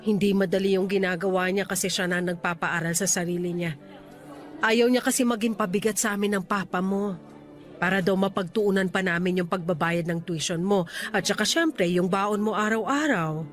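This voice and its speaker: A woman playing a doting Filipino mother in a radio drama, chatty and gushing with admiration as she gently compares her child. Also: mid-range voice, moderate pace, faint music behind, lightly acted. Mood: gently explaining.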